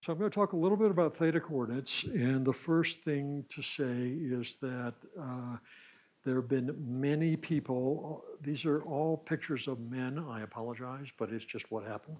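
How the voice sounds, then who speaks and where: A man lecturing at a science summer school, with a middle-pitched voice. He explains technical material step by step, pausing as he searches for words and dropping in informal asides. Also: a little mellow.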